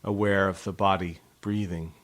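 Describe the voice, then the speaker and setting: A man with a fairly low voice guides a body scan meditation. His delivery is gentle and measured, giving soft instructions with long pauses between phrases. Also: steady tone.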